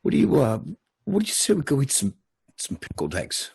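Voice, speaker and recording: A man reading the part of an earnest, fatherly man in a drama, speaking haltingly and emphatically. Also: medium pitch, huge pitch swings, creaky, darkish tone, husky, dry recording, full character voice. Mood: tender, wistful. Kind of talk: dramatic table read.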